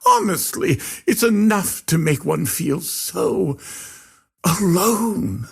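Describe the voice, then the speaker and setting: An actor playing a pompous, sinister circus ringmaster in a video game, speaking in florid showman's phrases with drawn-out dramatic emphasis. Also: sits high, big pitch swings, vocal fry, fairly bright tone, breathy edge, heavily acted. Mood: disappointed, wounded. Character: theatrical male circus ringmaster villain, grandiose and sinister. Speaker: video game villain and overseer. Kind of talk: theatrical scripted game voice lines.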